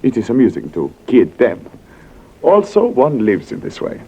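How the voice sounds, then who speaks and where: A man's voice: medium pitch, huge pitch swings, normal speed, dark tone, full character voice. An actor playing a suave, oily stage mystic and con man in a 1940s radio drama, formal and courtly. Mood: suave, amused. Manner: courtly, formal, smooth-talking. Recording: dull old radio recording.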